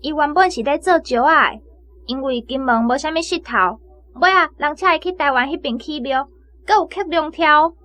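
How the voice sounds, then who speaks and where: A woman's voice: sits high, normal speed, sharp tone. An actress playing a shy, earnest seventeen-year-old shop girl in a radio drama. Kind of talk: Taiwanese-language radio drama acting.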